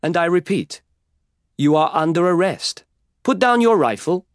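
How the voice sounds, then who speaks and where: Man playing a calm young customs officer, formal and measured, pitched up higher, dry close sound. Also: no echo. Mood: firm. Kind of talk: audiobook character voice.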